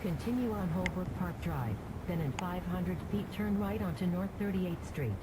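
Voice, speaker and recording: A woman's synthetic-style voice giving measured, impersonal turn-by-turn navigation directions as a GPS machine. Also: fairly low pitch. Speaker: GPS navigation voice in a played video. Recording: very noisy played-back video audio.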